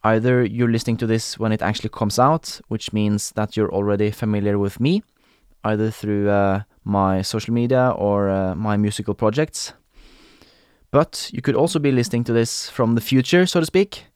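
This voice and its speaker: Norwegian guy hosting his podcast, second-language english, lots of ums, smooth resonant voice, dead dry room. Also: lowish voice, normal speed, a little mellow, no echo. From Norway. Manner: hesitant, many fillers and restarts, laughs often. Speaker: podcast host. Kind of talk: casual solo podcast talk.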